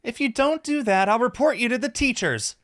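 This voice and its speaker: A man voicing a bossy, indignant student who scolds loudly and sharply in a visual novel. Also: very high-pitched, lively intonation, fairly quick, voice pushed up.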